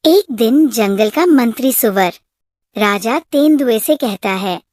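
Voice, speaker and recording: A woman's voice: a little high, lively intonation, slow, darkish tone, slight background noise. A woman narrating a scripted moral story for a cartoon.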